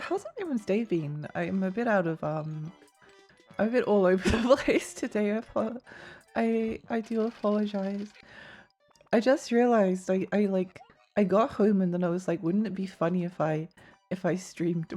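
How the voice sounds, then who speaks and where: A woman streaming a video game, chatting casually with many fillers and little laughs, her voice a bit croaky. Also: medium pitch, mellow. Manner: rambling, giggly, many fillers and restarts. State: slightly croaky voice. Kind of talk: casual live-stream chatter while gaming.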